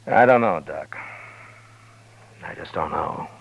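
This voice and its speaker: An actor playing a steady, firm frontier marshal who speaks in a low, measured, understated way in a 1950s radio drama. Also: male voice, creaky, heavily acted. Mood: grim. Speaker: lead and narrator. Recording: lo-fi old radio broadcast with music.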